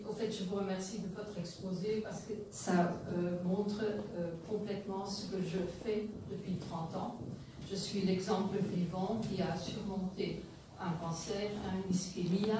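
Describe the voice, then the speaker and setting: A woman's voice: medium pitch, steady tone, a little slow. A woman in the audience giving an earnest, grateful comment with many fillers. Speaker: audience member. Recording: echoey room from far off, noisy.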